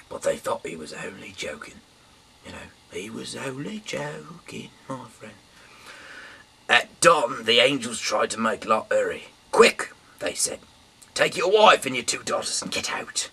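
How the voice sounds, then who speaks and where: Male, low but forceful voice, informal dramatic scripture reading, recorded at a distance. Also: creaky, husky. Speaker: Bible reader. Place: small dim room indoors. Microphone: camera mic from a little way off. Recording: distant mic, faint background hiss.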